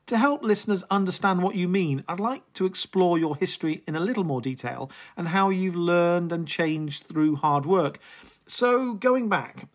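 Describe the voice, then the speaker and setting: An interviewer asking measured, prepared questions about a guest's career. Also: medium pitch.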